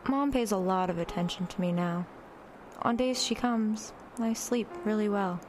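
A woman's voice: medium pitch, moderate pace, a little mellow, slight background noise, close to an everyday voice. A woman playing a brooding, eerily detached teenage girl who narrates her own story in a radio horror drama. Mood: flat, detached. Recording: music under the voice.

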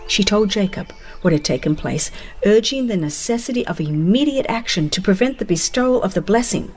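A woman narrating a dramatized religious audiobook in a solemn, deliberate storytelling manner with dramatic pauses. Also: medium pitch, darkish tone.

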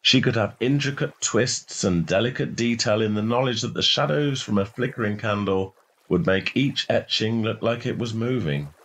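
Low-pitched man narrating a story. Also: moderate pace, fairly bright tone, rough recording. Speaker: short story reader. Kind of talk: scripted literary narration.

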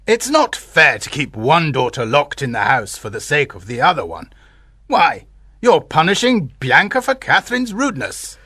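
A man playing a wry, rich old suitor with a creaky voice in an audiobook. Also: fairly high pitch, wildly swooping pitch, normal speed, pushed and bright, no echo, clean studio sound, heavily acted. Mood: protesting. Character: rich old suitor, ironical, wanting a quiet life. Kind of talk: acted audiobook dialogue.

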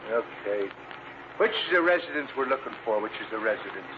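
An actor playing a nervous working-class cab driver in an old radio drama, folksy and repeating himself. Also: male voice, lowish voice, lively intonation, brisk, lightly acted. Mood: uneasy. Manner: folksy, repeats his own phrases. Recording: dull, noisy old radio recording.

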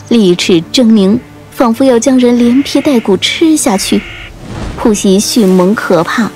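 Unhurried woman narrating an audiobook. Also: a little high, a little mellow. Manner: measured, literary. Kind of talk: dramatic scripted storytelling narration. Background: faint music.

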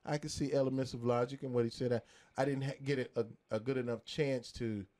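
A middle-aged man hosting a casual live discussion, speaking haltingly. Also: mid-range voice, fairly even intonation, mellow. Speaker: live show host. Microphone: boom microphone.

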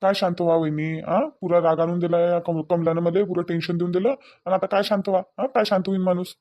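Man acting a high-voiced, fast, scolding village husband. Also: little pitch movement, highs cut off, lightly acted. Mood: exasperated. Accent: rural Vidarbha Marathi. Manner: scolding, repetitive rhetorical questions. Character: hot-tempered, worried village husband short of money. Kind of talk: amateur Marathi village family drama.

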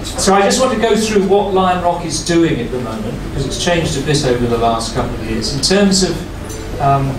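A man's voice: fairly high pitch, lively intonation, moderate pace, few pauses, a crowd in the background. An older man chairing a dinner event, welcoming guests from a podium with many ums and restarts. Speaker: think tank chairman. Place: hotel function room. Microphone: podium microphone on a stand. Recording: echoey room from far off, room noise.

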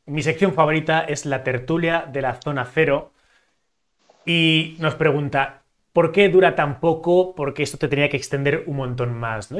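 Friendly interviewer in his thirties, from Zaragoza, slightly high voice, reads viewer questions over video call. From Zaragoza, Spain. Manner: friendly, brief affirmations, laughs along. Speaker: interviewer host. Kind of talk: live interview, reading chat questions.

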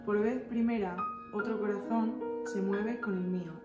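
A woman's voice: medium pitch, fairly even intonation, normal speed. A woman reciting love poetry in a recital style. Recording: echoey room, loud piano backing.

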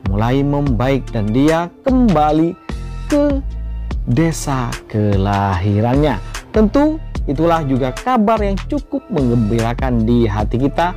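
Male celebrity gossip narrator: lively, sing-song read with swooping emphasis, over soft background music. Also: mid-range voice. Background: faint background music.

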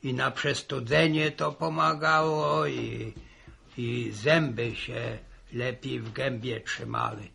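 A man's voice: mid-range voice, steady tone, takes it slowly, pushed and bright, full character voice. An actor playing a chatty, wry old country grandfather in a vintage radio serial. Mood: reminiscing. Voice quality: gravelly, husky. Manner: repetitive, interjecting, folksy. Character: chatty old peasant grandfather, wry, reminiscing, gently grumbling. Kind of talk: 1960s radio serial acting. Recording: vintage 1960 radio recording.

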